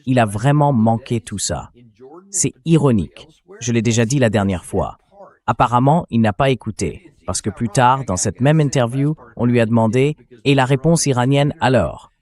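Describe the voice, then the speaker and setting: A man's voice: mid-range voice, moderate pace, a little mellow. A man hosting a political commentary show in a French translated voice-over, speaking critically with incredulous rhetorical turns.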